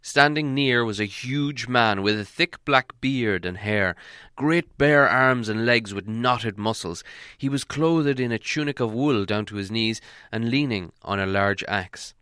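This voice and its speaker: A man narrates a storybook from a script. His medium-pitched voice is bright and clear. The recording is close and dry. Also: a little slow, no echo. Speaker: audiobook reader.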